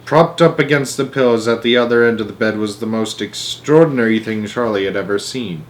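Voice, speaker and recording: A man narrates a children's book aloud in an expressive amateur style, sometimes tripping over words and correcting himself. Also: fairly low pitch, brisk, a little hiss or hum, poor audio.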